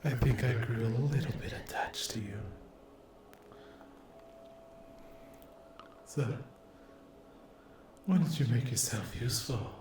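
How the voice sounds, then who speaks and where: A man's voice: medium pitch, background noise, lightly acted. A man voicing a cruel, possessive sleep paralysis demon in an ASMR roleplay, speaking in a menacing, condescending, whispery drawl. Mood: contemptuous, possessive.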